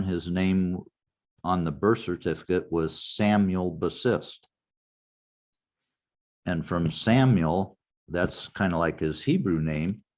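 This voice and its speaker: An older man teaching a genealogy class in a relaxed, anecdotal way, walking the class through a website step by step. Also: deep voice. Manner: anecdotal, conversational, walks through website steps. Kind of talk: informal lecture with screen demo.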